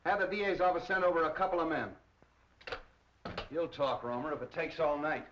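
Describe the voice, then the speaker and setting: A man's voice: a little high, brisk, fairly bright tone, a little hiss or hum, natural voice. Man acting a brusque, bullying 1930s police captain. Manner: curt, interrogating. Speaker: film actor. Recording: dull 1930s film soundtrack.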